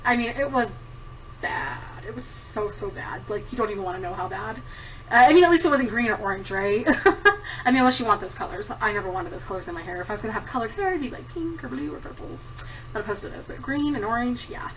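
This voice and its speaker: A woman's voice: fairly high pitch, animated, quick pace, distant mic, slight background noise, poor audio. A woman in her thirties chatting casually to camera, giggling often and rambling with lots of ums. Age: 30s. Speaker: vlogger answering a tag. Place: home room at a desktop computer. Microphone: computer camera mic.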